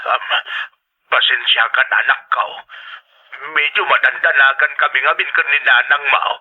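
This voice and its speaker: A man's voice: medium pitch, fairly even intonation, husky. A man acting a worried, hesitant elderly father in a radio drama, with an Ilocano accent and a telephone effect. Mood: worried, reluctant. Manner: halting, reluctant, sorrowful. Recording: thin, band-limited phone line sound.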